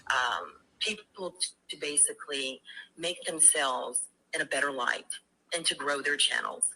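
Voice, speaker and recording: A woman's voice: low-pitched, sharp tone, rough recording. A woman calling in to a livestream discussion, speaking in a formal, emphatic and defensive way over harsh replayed stream audio. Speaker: caller on a livestream. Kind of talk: opinionated panel discussion.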